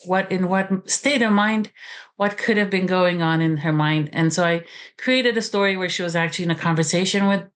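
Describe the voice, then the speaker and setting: A woman in her forties speaks with a Romanian accent, English not her first language. She talks in a halting, reflective way, with many pauses and restarts. Her pitch is on the low side. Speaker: author and college teacher. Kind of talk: interview guest talk.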